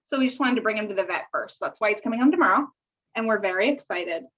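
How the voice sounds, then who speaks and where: A woman's voice: medium pitch, expressive, fast, a bit bright. A woman podcast co-host chatting casually on a remote call, in a bubbly and chatty way. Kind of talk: casual chat. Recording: dull, lo-fi call audio.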